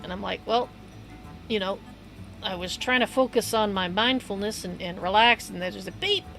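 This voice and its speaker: A hoarse woman chats on her game stream, rambling from one thought to the next and laughing easily. Her voice has a gravelly edge and sits at an ordinary pitch. Bouncy game music plays clearly beneath her. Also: lively intonation, moderate pace, fairly bright tone, low-quality recording. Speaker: livestreamer. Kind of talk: casual rambling chat while gaming. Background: upbeat game music.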